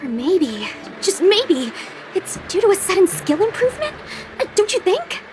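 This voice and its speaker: A woman's voice: very high voice, sing-song, moderate pace, music in the background, rough recording. A woman voicing an earnest, plucky, slightly bashful young female guard in an anime game cutscene. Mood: playful, modest.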